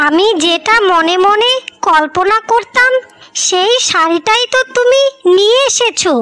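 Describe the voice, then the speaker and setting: A woman voicing an affectionate, excitable little sister in a cartoon fairy tale, gushing and repeating herself. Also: extremely high pitch, exaggerated voice.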